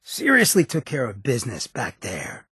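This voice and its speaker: An actor playing a roguish, streetwise ghoul in a video game, with a very raspy, gravelly voice and a cocky, laid-back, slangy way of talking. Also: male voice, mid-range voice, wildly swooping pitch, moderate pace, pushed and bright, dry recording, studio quality, exaggerated voice. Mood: approving. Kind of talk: video game companion dialogue.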